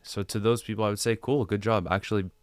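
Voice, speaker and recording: A man's voice: fairly low pitch, fairly even intonation, fast talker, smooth, dry recording. A man speaking as a podcast guest, giving conversational advice with rhetorical questions and repeated phrases for emphasis. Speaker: podcast guest, digital creator.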